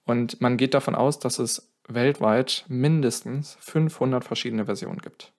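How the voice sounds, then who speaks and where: A man's voice: medium pitch, moderate pace, darkish tone. A man telling a song's history in casual podcast talk, often correcting himself mid-sentence. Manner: explains at length, self-correcting, thinking aloud. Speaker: podcast co-host.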